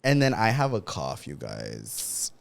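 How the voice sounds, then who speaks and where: A man's voice: deep voice, wildly swooping pitch, measured pace, gravelly. A young man chatting on a podcast with his co-host. He talks in loose, teasing banter full of swearing and slips into Spanish words now and then. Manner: profane, teasing banter with code-switching to Spanish. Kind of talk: casual chat.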